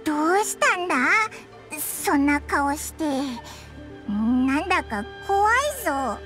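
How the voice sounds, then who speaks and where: A woman's voice: sits high, lively intonation, slow pace, fairly bright tone, soft background music, exaggerated voice. A woman voicing a tiny, childlike fairy companion in an anime game, speaking in a blunt, boyish way. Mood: flustered. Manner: blunt, boyish rough speech. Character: tiny floating fairy companion, childlike, outspoken, easily flustered.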